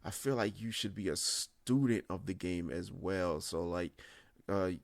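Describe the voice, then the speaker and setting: A man with a very low voice talks casually as an interview guest. He thinks aloud haltingly, in a dry recording. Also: gravelly, no room sound. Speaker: podcast guest, audio drama creator.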